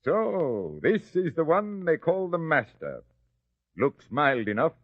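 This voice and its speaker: Haughty governor, lively delivery, dry dull radio drama sound. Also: male voice, a little high, takes it slowly, no echo, heavily acted. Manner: haughty and condescending, then hesitant. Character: proud, uneasy Roman governor. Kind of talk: old-time radio drama acting.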